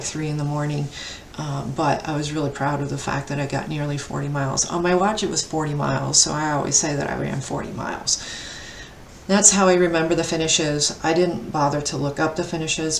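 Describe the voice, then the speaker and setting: A woman's voice: sits low, creaky. A woman aged fifty talking casually as a vlogger about her own running. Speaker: vlogger and amateur ultra runner. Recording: echoey, distant mic, faint noise.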